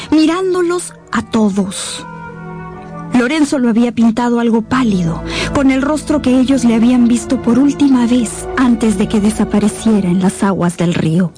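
A woman narrating a radio novel as scripted, suspenseful storytelling in a measured manner. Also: a little high, mellow.

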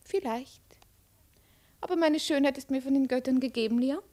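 High-voiced haughty princess played by an actress. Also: female voice, warm tone, lightly acted. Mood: haughty, mocking. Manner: imperious, haughty, deliberate. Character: proud, cold, mocking Chinese princess. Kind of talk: 1950s German radio play acting. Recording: 1950s radio drama recording.

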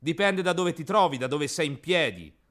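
A man's voice: on the high side, expressive, normal speed, a bit bright. An actor voicing a pedantic, exasperated know-it-all man in a radio play. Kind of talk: radio theatre acting.

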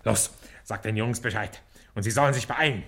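A man acting as a gruff gang boss who mulls things over and gives orders, in an old German radio satire. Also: on the low side, moderate pace, fairly bright tone. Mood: commanding.

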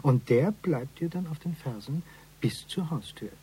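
A man's voice: fairly high pitch, expressive, measured pace, dark tone, slight background noise, poor audio. A man playing an eccentric ex-convict surveillance agent in a radio crime drama, speaking in stilted, flowery phrases with pompous courtesy. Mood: pompous, matter-of-fact. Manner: stilted, flowery speech with misused learned words.

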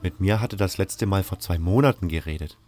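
A man's voice: low voice, brisk, darkish tone, pitched below the performer's own voice, lightly acted. A man voicing a helpful young cult novice in a fantasy audio drama, explaining things matter-of-factly and deferring to superiors. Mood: respectful, explaining.

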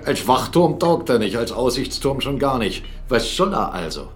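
A middle-aged man acting a gruff, commanding, sardonic captain and jealous fiancé in a German radio drama, mocking and blustering. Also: mid-range voice, big pitch swings, normal speed, natural voice. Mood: excited. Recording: studio radio drama with music underneath.

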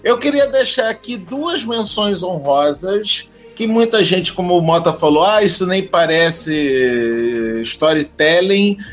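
A man's voice: sits high, lively intonation, slow pace, faint music behind. A Brazilian man hosting a casual podcast conversation. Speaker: podcast host.

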